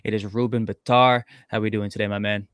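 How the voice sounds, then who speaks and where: Fast-talking male podcast host, flat delivery. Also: fairly low pitch, clean tone, no echo, clean studio sound. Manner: quick, casual, calls guest "man" often. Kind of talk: interview hosting.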